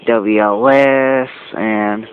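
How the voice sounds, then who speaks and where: A man giving a casual, amateur product review, talking in halting short phrases and often correcting himself. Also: on the low side, steady tone. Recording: dull lo-fi camera audio with noise.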